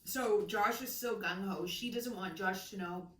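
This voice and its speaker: A woman's voice: mid-range voice, measured pace, fairly bright tone. A woman co-hosting a casual book chat, playful and opinionated, often jumping in with her own take. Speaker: co-host of a book discussion show. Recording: echoey room, distant microphone.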